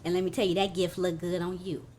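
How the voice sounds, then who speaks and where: Chatty woman, slightly low voice. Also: little pitch movement, fast, darkish tone, a little hiss or hum. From Chicago. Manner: chatty, with many fillers and "you know what I'm saying". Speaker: guest rapper and singer. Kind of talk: casual interview chat.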